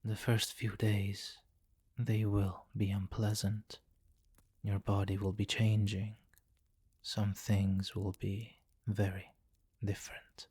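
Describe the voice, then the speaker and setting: A man voicing a possessive, seductive vampire king in an intimate ASMR roleplay, speaking formally and deliberately and often dropping into a whisper. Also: lowish voice, a little mellow, husky, no echo, heavily acted. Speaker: audio roleplay performer.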